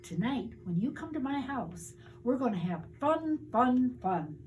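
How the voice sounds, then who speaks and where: An older woman voicing an eager, excited young boy in a storybook, speaking with emphasis. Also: high-pitched, a little slow, dull audio, slight background noise, pitched above the performer's own voice. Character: eager young boy full of big sleepover plans.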